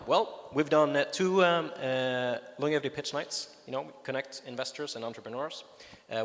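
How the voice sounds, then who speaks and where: A man with a Swedish accent, a native Swedish speaker, giving a conference talk with enthusiasm and lots of fillers and restarts. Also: medium pitch. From Sweden. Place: conference hall. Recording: reverberant hall.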